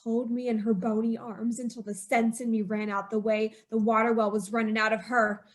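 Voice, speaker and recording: A woman narrating her own story in an old-time radio drama, in a tense, haunted voice that climbs to a frantic pitch. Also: level tone, normal speed. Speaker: narrator and lead character.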